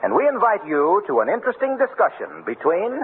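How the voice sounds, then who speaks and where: A man announcing on 1940s radio, reading a scripted pitch in a polished, emphatic way. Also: huge pitch swings, fairly quick. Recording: tinny, faintly noisy 1940s radio recording.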